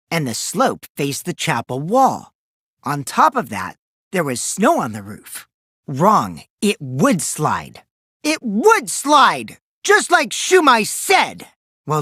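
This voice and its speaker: A man's voice: high voice, sharp tone, dry recording, clean studio sound, exaggerated voice. An actor playing a mischievous teenage boy in an anime game dub, a gleeful liar who teases and taunts theatrically, shifting between singsong mockery and sly menace. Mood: knowing, playful.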